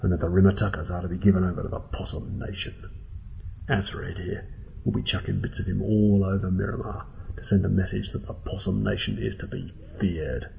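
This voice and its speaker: Man as giant possum rebel chief, storybook villain, deep, gruff, snarling, menacing, thin phone-like sound. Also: fairly even intonation, a little fast, a little hiss or hum. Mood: cold threatening. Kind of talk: audiobook character acting.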